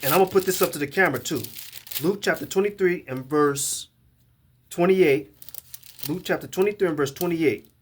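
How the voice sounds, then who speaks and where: A man's voice: fairly high pitch, normal speed, husky. A man giving a scripture lesson to a camera, emphatic and often repeating and restarting his words as he reads verses aloud. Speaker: Bible teacher.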